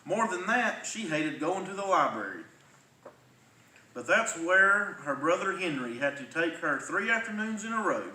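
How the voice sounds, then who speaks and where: Animated man reading a storybook aloud. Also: high-pitched, moderate pace. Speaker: firefighter reading a children's book. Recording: roomy, distant mic, faint noise.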